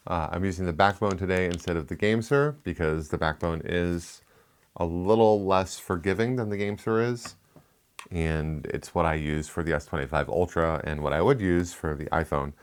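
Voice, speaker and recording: Man, low pitch, lisp, casual tech review, chatty, rambling asides. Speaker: tech reviewer, channel host.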